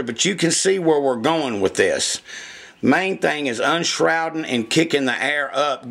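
Gravelly-voiced man explaining shop work. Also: on the high side, fairly bright tone. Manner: casual, plainspoken, folksy shop talk. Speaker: engine porting machinist. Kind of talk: hands-on shop demonstration. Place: workshop bench.

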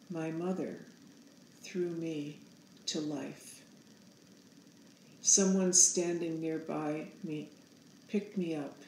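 Older woman in her sixties, very slow pace, solemn storybook narration, roomy echo. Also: sits low, slight background noise. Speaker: author reading own picture book aloud.

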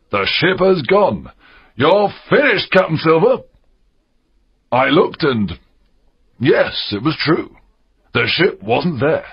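Gravelly, wildly swooping pirate captain. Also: male voice, fairly high pitch, muffled recording, exaggerated voice. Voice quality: gravelly, husky. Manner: theatrical, drawling, swooping delivery. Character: fierce, cunning pirate captain, bold and domineering. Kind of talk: dramatised audiobook acting.

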